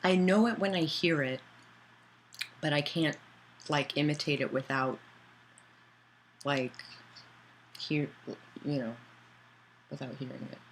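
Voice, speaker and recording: Casual streamer-author, mid-pitched voice, loose chatty delivery, answers chat aloud, some faint hiss. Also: takes it slowly, long pauses, rough recording. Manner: loose, with many fillers and restarts.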